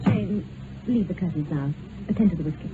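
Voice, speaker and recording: An actress playing a refined, anxious runaway wife in an old radio drama, with an earnest, theatrical delivery. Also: female voice, fairly low pitch, a little fast, lightly acted. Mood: restrained, curt. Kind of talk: mid-20th-century radio drama acting. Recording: noisy, tinny old radio recording.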